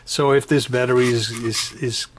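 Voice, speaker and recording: A man giving a casual, unscripted hobby tutorial, conversational and hesitant, often pausing mid-sentence. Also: mid-range voice, level tone, background noise. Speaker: hobbyist demonstrating a build. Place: indoors at home.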